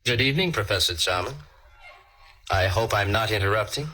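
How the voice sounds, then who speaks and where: A man's voice: fairly low pitch, animated, brisk, bright, forceful tone. An actor playing a cold, greedy killer who narrates his crime in a menacing 1940s radio drama style. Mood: smug probing. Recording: old radio drama with music underneath.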